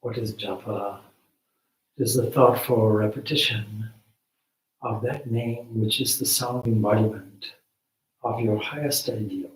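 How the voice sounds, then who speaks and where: A man guides a meditation in a fairly low voice. He speaks very slowly and deliberately, leaving long pauses between short phrases. The sound is echoey, picked up at some distance from the microphone. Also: fairly even intonation, slight background noise. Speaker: spiritual teacher leading meditation. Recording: roomy echo, distant microphone.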